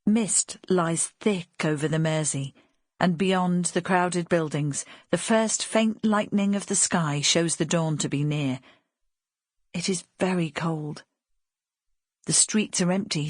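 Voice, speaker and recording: Woman narrating a novel, slightly husky, close and dry. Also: lowish voice, no echo. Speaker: audiobook narrator. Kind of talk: scripted literary narration, dramatic storytelling.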